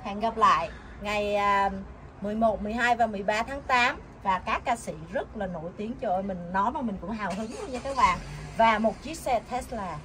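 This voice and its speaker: A woman's voice: mid-range voice, brisk, a bit bright. A middle-aged woman chatting enthusiastically as she promotes a community event in a casual vlog. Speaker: vlogger announcing a community event. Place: outdoors at a building's glass entrance. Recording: noisy outdoor camera audio.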